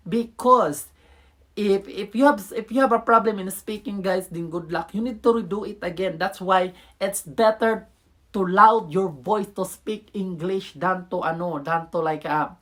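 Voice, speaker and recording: A woman's voice: medium pitch, measured pace, fairly bright tone. Filipino woman vlogging, rambling advice. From Philippines. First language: Tagalog. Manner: rambling, many restarts, addresses viewers as guys. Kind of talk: casual advice vlog.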